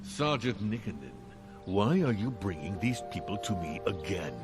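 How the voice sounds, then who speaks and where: A man's voice: fairly low pitch, animated, natural voice. Man acting a haughty dwarf lord, swinging intonation. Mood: skeptical. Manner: imperious, formal, deliberate. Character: haughty dwarf lord, shrewd castle baron. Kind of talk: video game cutscene acting. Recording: game music behind the voice. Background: game music.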